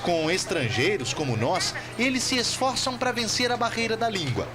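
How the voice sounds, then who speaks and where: A man's voice: high voice, big pitch swings, normal speed, over music. A man with a Brazilian accent narrating a scripted TV report as a foreign correspondent. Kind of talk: scripted news report with translated quotes.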